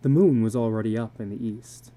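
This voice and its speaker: A man narrating literary fiction as a dramatic reading, in a measured, deliberate way. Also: fairly low pitch, dark tone.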